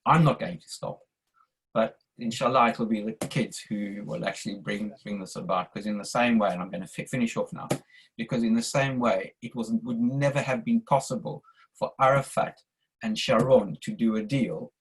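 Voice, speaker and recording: An older man with a South African accent, making an earnest, measured statement on a video call. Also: lowish voice, a little hiss or hum, low-quality recording. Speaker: audience member asking a question. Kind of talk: online discussion statement.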